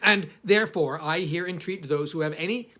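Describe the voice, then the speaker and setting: A man playing a haughty, misanthropic older traveller narrating his memoir, with halting, theatrical delivery and emphatic pauses. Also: a little high, bright, forceful tone. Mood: haughty, pompous. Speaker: audiobook reader. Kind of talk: dramatic first-person literary narration.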